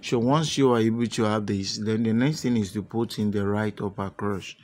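A man narrating a step-by-step software tutorial unscripted, with halting, repetitive instructional phrasing. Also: lowish voice, steady tone. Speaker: tutorial presenter.

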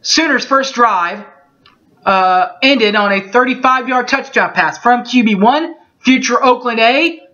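A man in his thirties reading through postgame football stats from his bedroom, steady and methodical, with small slips he corrects as he goes. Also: very high voice. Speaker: sports fan recapping a game. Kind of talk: webcam postgame stats recap. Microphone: webcam mic. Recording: dull lo-fi webcam audio.

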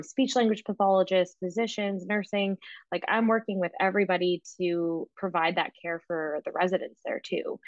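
A woman's voice: lowish voice, no echo, highs cut off. A young woman from the Canadian prairies, a dietitian, chatting casually and animatedly in an interview over a video call, with many filler words. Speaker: registered dietitian guest. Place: small home room, indoors. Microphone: laptop microphone on video call.

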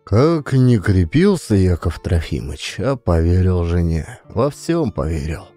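A man narrating a classic story as an audiobook, with dramatic, expressive storyteller phrasing. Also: low voice, measured pace, faint music behind.

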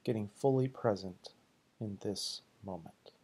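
A man leading a guided meditation in slow, deliberate, soothing short phrases, with a husky, gravelly voice. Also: lowish voice, mellow.

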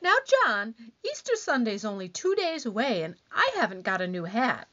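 A woman's voice: a little high, very animated, moderate pace, bright, forceful tone, full character voice. A woman acting a needy, easily wounded, nagging wife in an old-time radio comedy, with whiny, dramatic complaining. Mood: pleading. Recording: dull, lo-fi band-limited sound.

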